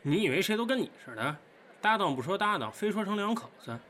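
A man's voice: sits high, very animated, fast, pushed and bright, slight background noise, heavily acted. A man playing an exasperated young stage colleague who scolds, in an audio drama.

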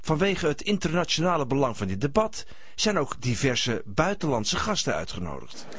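A man acts as a mock-solemn storyteller in a radio play. His husky voice moves expressively in pitch and is recorded close and dry. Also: very animated, moderate pace, fairly bright tone, no room sound, exaggerated voice. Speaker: narrator. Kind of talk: scripted radio play narration.

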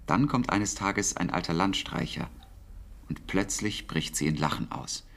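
An actor in a German radio drama playing a wartime codemaster, awkward and exacting, with a hesitant, precise delivery and a wistful streak. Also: male voice, low voice, a little slow, creaky, fairly bright tone, heavily acted. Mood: reflective storytelling.

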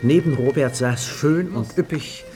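An actor as a wry storyteller, reading scripted literary narration in a radio play. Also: male voice, medium pitch, measured pace, lightly acted. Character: wry storyteller of a boozy party. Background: music.

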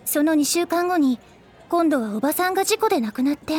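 A woman voicing a gentle teenage schoolgirl who recounts a childhood friend's story softly and earnestly. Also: high-pitched, lively intonation, fairly quick, faint music behind. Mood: worried. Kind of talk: anime drama CD acting.

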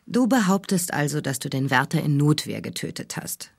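An actress playing a brash, sardonic radio talk-show host with a husky voice and a wry, commanding manner. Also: female voice, low-pitched, big pitch swings, moderate pace, dry recording, clean studio sound, full character voice. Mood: skeptical, wry. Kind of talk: radio drama acting.

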